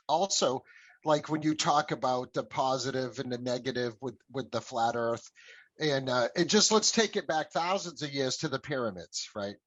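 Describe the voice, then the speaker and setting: A man's voice: medium pitch, moderate pace, a bit bright. Hesitant man on a dull lo-fi line. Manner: hesitant, repeats words, asks leading questions. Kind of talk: podcast interview. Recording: dull lo-fi sound.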